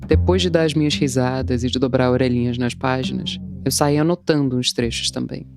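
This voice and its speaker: A woman's voice: rumbling low, fairly even intonation, a little fast, a little mellow, faint music behind. Wry woman narrating a personal story. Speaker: translator and podcast narrator. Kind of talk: scripted first-person narration.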